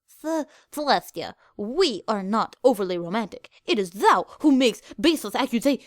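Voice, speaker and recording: A woman's voice: a little high, big pitch swings, moderate pace, dry recording, studio quality. A woman voicing a proud, easily flustered young alicorn princess of the night who speaks in an archaic royal manner. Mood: flustered, indignant.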